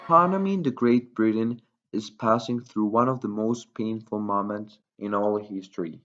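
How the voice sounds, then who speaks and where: Muffled lo-fi recording, man, lowish pitch, formal scripted newsreel narration, wordy delivery. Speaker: newsreel reporter. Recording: dull lo-fi voice recording.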